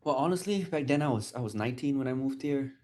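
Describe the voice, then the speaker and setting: A man's voice: medium pitch, fast. A man in his twenties from Malaysia, a guest on a podcast, talking casually and repeating words as he searches for them. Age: 20s. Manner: relaxed, chatty, repeats words when searching. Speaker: guest, sports photographer. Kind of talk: casual conversation.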